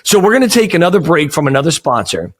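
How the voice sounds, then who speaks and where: A man's voice: fairly high pitch, sing-song, fast. Brief: American man, New England accent, podcast host, friendly, self-mocking. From United States. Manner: friendly, joking, self-deprecating. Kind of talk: casual interview chat.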